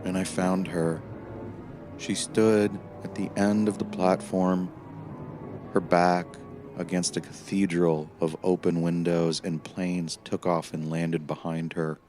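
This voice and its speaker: A man playing a weary, haunted time-traveling prisoner who narrates his memories in a measured, somber, reflective way, as in an audio play. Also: deep voice, little pitch movement, music in the background. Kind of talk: scripted first-person dramatic narration.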